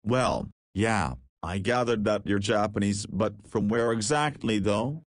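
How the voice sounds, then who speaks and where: Man playing a low-voiced, cheeky teenage guy. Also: unhurried, no room sound, clean studio sound, natural voice. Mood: curious. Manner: casual, slangy. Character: cheeky, easygoing nineteen-year-old male ex-juvenile detainee. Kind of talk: visual novel character dialogue.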